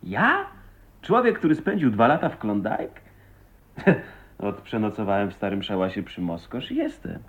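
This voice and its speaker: An actor playing an easygoing, affectionate backwoods husband in a radio drama, with a playful, bantering manner. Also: male voice, on the low side, big pitch swings, normal speed, pauses often. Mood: jovial, teasing. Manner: playful, bantering, swooping intonation. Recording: very muffled, narrow old radio recording.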